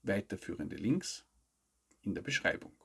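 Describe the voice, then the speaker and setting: A man, reading scripted news commentary to camera in a measured, formal way. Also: on the low side, big pitch swings, gravelly, heavy background noise. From Austria. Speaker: news show host. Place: indoor studio with plain backdrop.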